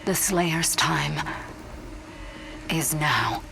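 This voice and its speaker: A woman playing a devout scientist in a video game, recording a solemn, reverent log in a hushed, breathy voice. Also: low-pitched, extremely slow, background noise, heavily acted. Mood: zealous, ominous. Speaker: scientist narrating a log entry. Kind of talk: scripted dramatic video game monologue.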